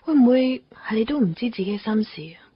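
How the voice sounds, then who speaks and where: A woman playing an insecure young girlfriend, speaking softly and pleadingly in a radio drama. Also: on the high side, lively intonation, quick pace, a little hiss or hum, close to an everyday voice. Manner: soft and pleading. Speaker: the hero's girlfriend. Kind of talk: Cantonese radio drama acting. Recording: dull lo-fi radio recording.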